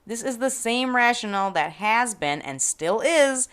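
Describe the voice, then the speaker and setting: A woman's voice: fairly high pitch, animated, unhurried, fairly bright tone, clean tone. A woman hosting a podcast, reading scripted educational narration with careful explanation and a wry, playful edge. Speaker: podcast host.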